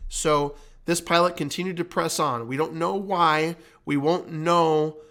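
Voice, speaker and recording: A man's voice: on the high side, steady tone, a little slow, pushed and bright. A man giving an explanatory commentary like an instructor, teaching emphatically and repeating key points. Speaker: flight instructor and host.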